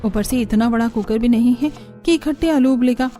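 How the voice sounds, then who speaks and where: A woman's voice: a little high, brisk, warm tone, faint music behind, natural voice. A woman voicing a dutiful but grumbling young daughter-in-law in a cartoon, with a dramatic, complaining manner. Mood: exasperated.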